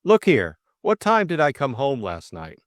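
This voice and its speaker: A man playing a flippant, drowsy young gentleman of leisure in an audiobook, with a breezy, bemused and slightly hesitant manner. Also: mid-range voice, wildly swooping pitch, measured pace, no room sound, studio quality, pitched above the performer's own voice. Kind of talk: audiobook character acting.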